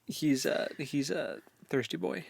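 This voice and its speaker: A man's voice: a little high, measured pace, creaky, slightly rough. A man co-hosting a casual book discussion, recapping the story informally with hesitant, joking asides. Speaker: co-host of a book read-along show. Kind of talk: casual chat, recapping a fantasy novel.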